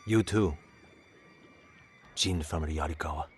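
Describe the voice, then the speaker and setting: An actor playing a gruff, distrustful middle-aged raider in a video game, blunt, sardonic and emotive in his delivery. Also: rumbling low, slow pace, over music. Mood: wry, resigned. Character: gruff, distrustful male raider, weary and loyal. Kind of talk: dramatic video game acting.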